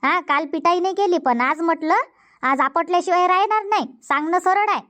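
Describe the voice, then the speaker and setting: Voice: very high voice, a little fast, sharp tone. A performer voicing a stern, nagging old village grandmother in a cartoon comedy, speaking bluntly with a Varhadi Marathi accent. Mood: scolding. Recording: dull, muffled lo-fi audio.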